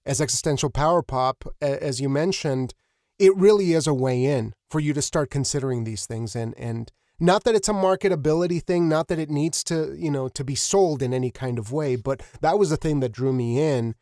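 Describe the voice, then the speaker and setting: A man hosting an interview in casual, reflective talk, effusive and earnest with halting phrasing. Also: fairly high pitch, mellow, no room sound, clean studio sound. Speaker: podcast host, interviewer.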